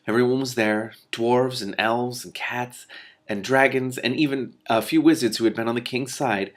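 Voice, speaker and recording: A man reading a fantasy story aloud in an animated, informal way, now and then correcting himself. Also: mid-range voice. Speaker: reader and commentator. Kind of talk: expressive storybook read-aloud.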